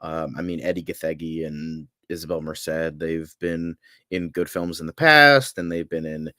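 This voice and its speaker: A man's voice: very deep voice, normal speed, bright, forceful tone, no echo, studio quality. A man co-hosting a podcast, chatting casually as an opinionated fan in a loose, rambling way full of filler phrases. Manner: rambling, peppered with "like" and "you know". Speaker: podcast co-host.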